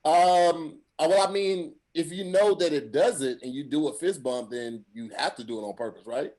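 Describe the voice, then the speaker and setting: A man co-hosting a casual podcast, easygoing, reasoning things through out loud. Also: on the high side, normal speed, low-quality recording. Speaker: podcast co-host, poses the debate question. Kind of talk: casual group chat.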